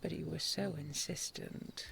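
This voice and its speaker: A regal dragon queen voiced by a woman speaks very slowly in a soft, creaky near-whisper. She is tender and loving, pausing gently as she consoles her beloved in an intimate bedtime roleplay, with faint noise behind her. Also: deep voice, fairly even intonation, full character voice. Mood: weary, tender. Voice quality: soft, breathy, often whispered. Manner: gentle, halting, unhurried endearments. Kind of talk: intimate audio roleplay, sleep-aid storytelling.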